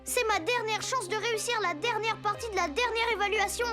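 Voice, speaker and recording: A young boy superhero from a cartoon, full of self-doubt. The voice is extremely high, earnest and worried, with music clearly behind it. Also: fairly quick. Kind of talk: cartoon dub acting.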